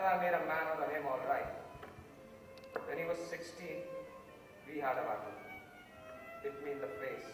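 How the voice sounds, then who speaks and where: A man's voice: medium pitch, lightly acted. Stage drama: young man as resentful middle-aged father, Indian accent, hot temper, distant and reverberant. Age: young adult. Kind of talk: amateur stage play acting. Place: large auditorium stage. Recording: echoey hall, distant camera, loud background sound.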